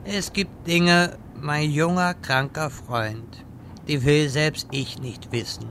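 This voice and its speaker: A man playing a wise, exasperated old wizard in a fantasy parody radio play, speaking deliberately and dryly. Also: on the high side, noisy background. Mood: measured, dry. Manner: slow, deliberate, dry.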